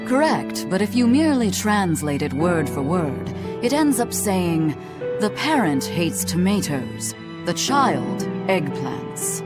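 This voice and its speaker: An actress playing a stern, theatrical female scholar in a video game, speaking in a formal, exacting, measured way. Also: on the low side, very animated. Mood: exacting, pensive. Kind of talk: English video game voice acting. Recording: loud music behind the voice.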